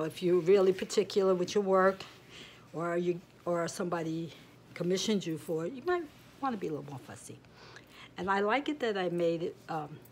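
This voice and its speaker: A woman's voice: low voice, a little mellow, a little hiss or hum. A middle-aged woman with a nasal, stuffy-sounding voice, chatting casually through a home crafting tutorial, meandering with lots of fillers. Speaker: crochet vlogger. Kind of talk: rambling casual tutorial talk.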